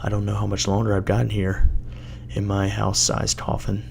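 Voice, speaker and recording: A man with a gravelly voice giving a halting, dramatic first-person reading of a horror story as an amateur narrator. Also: fairly low pitch, monotone, darkish tone. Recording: noisy amateur home recording.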